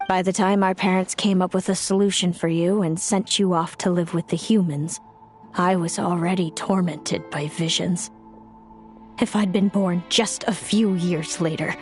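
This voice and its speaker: A woman's voice: on the low side, steady tone, close to an everyday voice. A woman voicing a bitter, resentful fae sister. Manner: cool, bitter, measured. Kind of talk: game voice acting. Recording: game audio with faint music.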